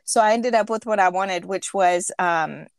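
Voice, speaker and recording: A woman's voice: medium pitch, moderate pace, dry recording, studio quality. A woman in her thirties hosting a podcast, telling a rambling, chatty story. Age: 30s. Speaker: podcast host. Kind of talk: casual chat.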